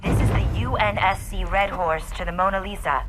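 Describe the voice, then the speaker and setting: A woman's voice: medium pitch, fairly even intonation, a little fast, lightly acted. A woman playing a businesslike ship's officer hailing another ship in a motion comic, with formal radio procedure. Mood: urgent, formal. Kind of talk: dramatic voice acting in a motion comic. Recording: dramatic music behind the radio call.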